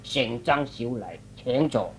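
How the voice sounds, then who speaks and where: A man's voice: medium pitch, measured pace, a little hiss or hum, voice pushed down, natural voice. A man playing a courteous but timid, fussy king in a Cantonese radio drama, speaking formally. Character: courteous but easily frightened middle-aged king.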